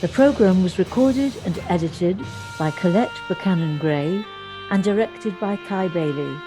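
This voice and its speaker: A woman presenter reading scripted credits in a measured announcing manner. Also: fairly low pitch, music in the background.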